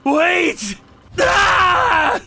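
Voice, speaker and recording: High screaming, pleading torture victim. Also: male voice, animated, drawn-out words, gravelly, bright, forceful tone, raised voice, full character voice. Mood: agonized. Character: tortured male criminal, desperate and pleading.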